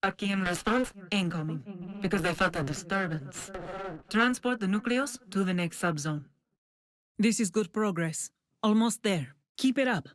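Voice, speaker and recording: A woman playing a composed female scientist who guides a mission in a video game, giving measured, businesslike instructions. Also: medium pitch, lively intonation, dry recording, close to an everyday voice. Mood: encouraging. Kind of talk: scripted video game voice lines. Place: dry studio.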